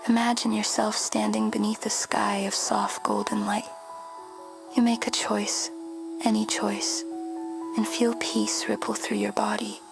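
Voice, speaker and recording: A woman in a whisper, guiding a meditation in a slow, soothing way. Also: mid-range voice, creaky. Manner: slow, soothing, flat delivery. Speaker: meditation guide. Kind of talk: guided meditation. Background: music.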